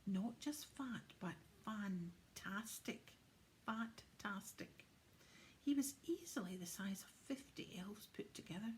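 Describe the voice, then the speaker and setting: Woman, children's story narrator, medium pitch, dramatic hushed delivery, faint background noise. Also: takes it slowly. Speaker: storybook reader.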